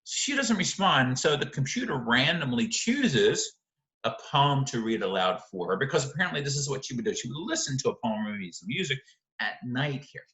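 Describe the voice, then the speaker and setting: Animated male teacher in an echoey room. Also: mid-range voice, fairly quick. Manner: emphatic, checks in often with rhetorical questions. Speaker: literature teacher. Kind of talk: informal video lecture analysing a short story.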